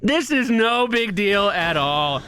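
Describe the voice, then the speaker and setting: A man's voice: high-pitched, big pitch swings, slow pace, bright, forceful tone, a little hiss or hum. A man streaming a video game, giving casual play-by-play commentary on his own moves with asides to viewers. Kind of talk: live gameplay commentary, casual.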